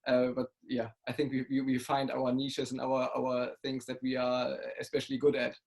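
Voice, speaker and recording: A young man from Germany, a startup CEO answering haltingly with many fillers and restarts over a video call. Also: mid-range voice, completely flat delivery, creaky, a little mellow. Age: young adult. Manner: hesitant, many ums and restarts. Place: home study with bookshelves.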